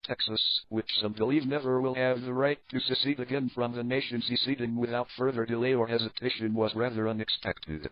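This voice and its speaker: A man playing a sober news anchor, reading a scripted crisis report in a formal, measured way. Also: mid-range voice, level tone, no echo, lightly acted. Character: sober adult male news anchor of alternate-history crisis. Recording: dull lo-fi narrow band.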